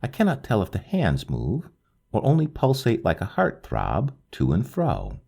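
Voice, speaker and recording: A man reading a literary ghost story aloud, slow and hushed, lingering over each phrase. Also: huge pitch swings, creaky, breathy edge. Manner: slow, hushed and lingering, with wide swings of pitch. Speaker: audiobook narrator.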